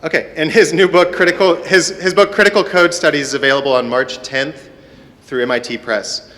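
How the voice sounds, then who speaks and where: A man's voice: fairly high pitch, steady tone, fairly quick, a bit bright. A man introducing panel speakers at a conference, reading from prepared biographies. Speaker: panel moderator. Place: conference hall at a university symposium. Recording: echoey hall with long reverb.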